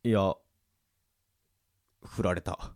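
A man's voice: low voice, fairly even intonation, drawn-out words, darkish tone, heavily acted. A man playing a hapless, dim but good-natured teenage boy in a radio drama, with music behind. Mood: dejected. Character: teenage boy, cheerful hapless dimwit unlucky in love. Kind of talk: amateur radio drama acting.

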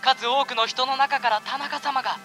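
A man's voice: extremely high pitch, fairly even intonation, normal speed, sharp tone, soft background music, pitched above the performer's own voice. A man voicing a mysterious, overly polite phone caller in an amateur radio drama. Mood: formal. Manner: formal, ceremonious.

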